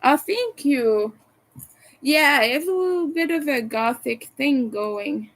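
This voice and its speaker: Brief: French Canadian woman, higher voice, unhurried, hesitant streamer chat from her room at home. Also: expressive, low-quality recording. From Montreal, Quebec, Canada. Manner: soft, hesitant, many fillers, chuckling asides. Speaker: crochet livestreamer. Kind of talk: casual livestream chat, reading and answering chat.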